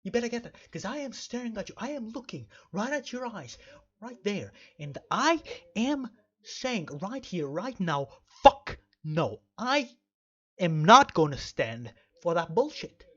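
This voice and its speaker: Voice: medium pitch, sing-song, measured pace, husky, highs cut off. A game streamer giving rambling let's play commentary in an emphatic, mock-stern way, often repeating phrases. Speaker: video game streamer and host.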